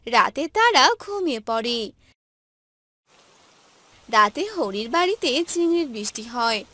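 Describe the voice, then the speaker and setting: A woman narrating a cartoon fairy tale clearly and in a lively way. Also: fairly high pitch, measured pace. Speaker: storyteller. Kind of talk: scripted narration.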